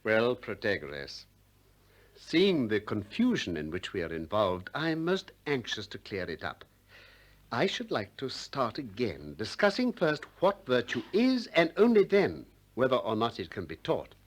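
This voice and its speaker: A courteous but relentless philosopher in a radio play. His pitch swings widely through measured, articulate questions. He presses each point with insistent care. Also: wildly swooping pitch. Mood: reflective, earnest. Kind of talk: 1960s radio drama acting of philosophical dialogue.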